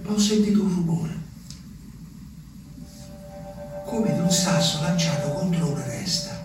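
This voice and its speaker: An actor playing an anguished, simple Italian peasant man, telling his memories in a halting, urgent stage monologue. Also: sits high, expressive, over loud music. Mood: haunted. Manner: halting, repetitive, urgent storytelling. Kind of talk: theatrical monologue. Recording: echoey room, distant mic, faint noise.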